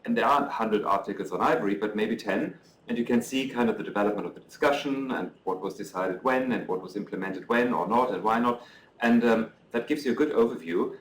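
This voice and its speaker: A middle-aged man with a German accent, a native German speaker, presenting his book to an audience. He talks in a halting way, with many ums and restarts, and has a dry, self-mocking humour. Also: fairly low pitch, distant mic, a little hiss or hum. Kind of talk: lecture talk, then interview answers. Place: lecture room. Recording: roomy echo, off-mic.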